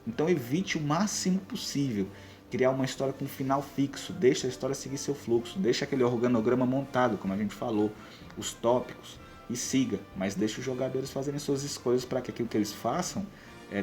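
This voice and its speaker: A man's voice: mid-range voice, brisk, a little mellow, faint music behind. A man with a Brazilian accent giving conversational, explanatory advice as a podcast co-host and game master. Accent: Brazilian Portuguese. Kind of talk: conversational advice on tabletop role-playing games.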